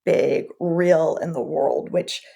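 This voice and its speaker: Female podcast guest, low husky voice with fry, emphatic, halting conversational delivery with repeated words. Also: warm tone. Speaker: podcast guest, marketing executive. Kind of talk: conversational interview answers.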